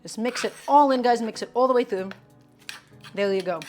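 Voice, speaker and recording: Woman streamer, chatty cooking host, slightly high voice, soft music in the background. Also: normal speed. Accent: her own distinct accent, not Brooklyn. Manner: upbeat, talks chat through each step, says "guys" and "okay" often.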